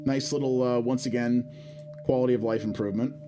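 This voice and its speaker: Guy streaming a game, thinking out loud in a chill chatty way, middle pitched voice, bit of music low behind him. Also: fairly even intonation, fairly quick, low-quality recording. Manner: chatty, thinking aloud while clicking through menus. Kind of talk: casual live game first-impressions commentary.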